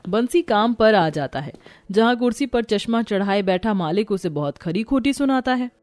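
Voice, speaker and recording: A woman's voice: medium pitch, expressive, normal speed, a little mellow, no echo, faint music behind. A woman reading scripted storybook narration of a moral tale.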